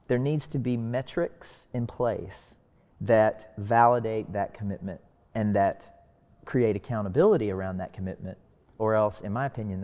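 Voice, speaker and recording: A man speaking as a discussion guest, explaining earnestly in deliberate, emphatic phrases. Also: mid-range voice, darkish tone. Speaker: discussion panelist. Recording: reverberant hall-like echo.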